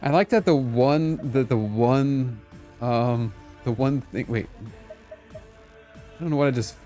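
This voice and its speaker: A man's voice: mid-range voice, slow pace, dark tone. A man live-streaming a game, giving playful, rambling, casual commentary as he plays. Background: game music.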